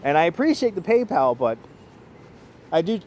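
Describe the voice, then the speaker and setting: A man with a Brooklyn New York accent, chatting casually to live-stream viewers as a local guide, speaking clearly and deliberately. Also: on the high side, sing-song, a little mellow. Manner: clear, deliberate enunciation, rambling. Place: outdoors on city streets by the seaside. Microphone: wireless clip-on mic. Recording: outdoor urban street noise.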